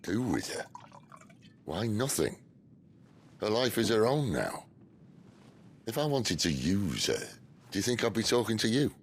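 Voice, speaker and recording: A man voicing a wry middle-aged rebel leader in a video game, with a gravelly British voice and a dry, sardonic, conversational delivery. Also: low voice, full character voice. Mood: frank, persuasive. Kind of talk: video game cutscene acting.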